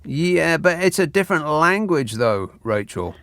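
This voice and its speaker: A man hosts a late-night radio phone-in and reads listeners' texts aloud. His delivery is dry and deadpan, and his sarcastic asides come close on a bone-dry studio mic. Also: a little high, a little slow, gravelly, no echo. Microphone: studio microphone.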